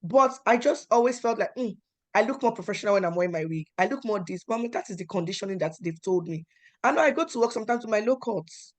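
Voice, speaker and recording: A young adult woman from Nigeria with a Nigerian accent, telling personal stories at a talk in an animated way and acting out the dialogue. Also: mid-range voice, quick pace. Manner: animated, acts out quoted dialogue with emphatic repetition. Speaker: panel speaker.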